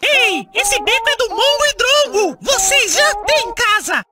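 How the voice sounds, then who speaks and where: A woman with a Brazilian accent voicing a plucky, feisty little cartoon boy, squeaky and emphatic. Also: lively intonation, a little slow. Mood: indignant. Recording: loud music behind the voice. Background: loud music.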